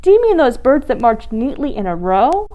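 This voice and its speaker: A woman plays a goofy storybook penguin fellow with a high, swooping voice. He is cheerful, loud and oblivious, happy to burst into clumsy song. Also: big pitch swings, moderate pace. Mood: eager questioning. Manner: exuberant, singing loudly and badly. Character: oddball cheerful male penguin, loud and clueless.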